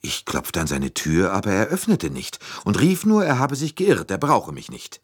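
A man's voice: on the low side, wildly swooping pitch, normal speed, slightly rough, dry recording, studio quality, pitched above the performer's own voice, full character voice. A man voicing a nervous, deferential middle-aged French train conductor in an audiobook. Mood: deferential, explaining. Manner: anxious, deferential, eager to justify himself. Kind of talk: audiobook character dialogue.

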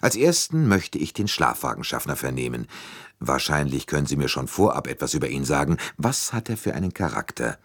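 A man's voice: very deep voice, big pitch swings, a little fast, fairly bright tone, husky, no echo, studio quality, full character voice. A man voicing a composed, methodical detective who questions courteously and precisely in an audiobook. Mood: businesslike. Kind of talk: audiobook character dialogue.

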